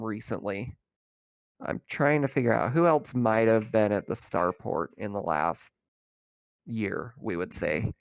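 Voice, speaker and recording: A man acting as game master, improvising narration for a tabletop roleplaying game in a halting, conversational way. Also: mid-range voice, vocal fry, smooth, no room sound.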